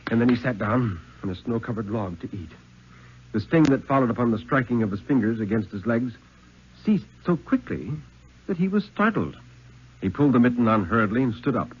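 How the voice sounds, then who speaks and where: Expressive male storyteller on muffled old radio. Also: medium pitch, slightly rough. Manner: suspenseful, measured storytelling with dramatic pauses and emphasis. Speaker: narrator reading a short story aloud. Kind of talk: 1950s radio dramatic story reading. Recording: muffled, lo-fi 1950s radio recording.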